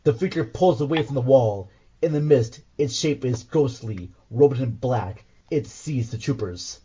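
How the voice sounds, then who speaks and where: A man's voice: medium pitch, a little slow, mellow, breathy edge. Man narrating an audio drama, slightly muffled. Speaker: host and narrator.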